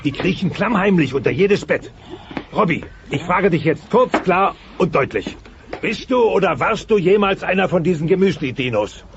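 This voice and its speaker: Dinosaur patriarch: pompous, lively swooping gravelly voice, comic radio play, muffled noisy tape. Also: male voice, high-pitched, normal speed. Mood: stern, indignant. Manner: pompous, blustering, lecturing. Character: pompous, proud, hot-tempered dinosaur father, hardline meat-eater. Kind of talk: comic audio drama dialogue. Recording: dull, noisy old cassette transfer.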